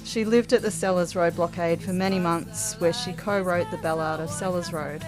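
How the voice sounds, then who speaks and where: A woman's voice: on the low side, fairly even intonation, normal speed, over music. An Australian woman reading scripted memorial tributes as a podcast reader.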